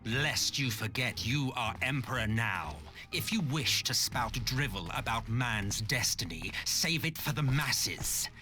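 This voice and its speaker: An ancient immortal schemer from a video game, drawling slowly with mocking condescension. His pitch swoops theatrically as he toys with each phrase, weary and superior, over prominent orchestral music. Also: animated, pushed and bright. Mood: weary disdain. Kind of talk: video game villain acting.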